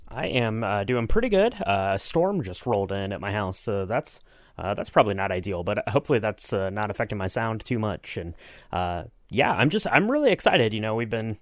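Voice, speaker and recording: A man's voice: fairly low pitch, big pitch swings, fairly quick, no echo, studio quality. A man co-hosting a podcast, talking in an enthusiastic, rambling, conversational way. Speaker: podcast co-host. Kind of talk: casual podcast chat.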